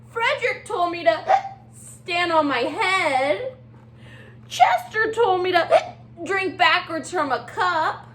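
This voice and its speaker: Very high-pitched, whiny little penguin. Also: female voice, fairly bright tone, slight background noise, full character voice. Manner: childlike, whiny, exaggerated. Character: small, anxious young penguin with hiccups, dramatic and pleading. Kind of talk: children's storybook read-aloud.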